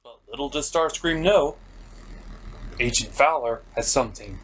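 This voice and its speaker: A man's voice: mid-range voice, expressive, a little slow, a little hiss or hum. A man narrating a scripted review in a sarcastic, mocking, sometimes profane manner. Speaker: cartoon reviewer, host.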